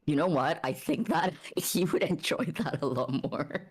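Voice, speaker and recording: Very low-voiced woman, slow and halting. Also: expressive, slightly rough. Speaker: tabletop game player. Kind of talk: casual in-character narration at a game.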